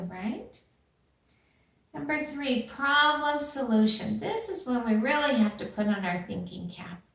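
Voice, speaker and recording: Woman, children's teacher, higher voice, slight creak, unhurried, expressive storybook delivery. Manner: expressive, addresses children with questions and pauses. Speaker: teacher reading aloud to young children. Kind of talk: animated storybook read-aloud and lesson.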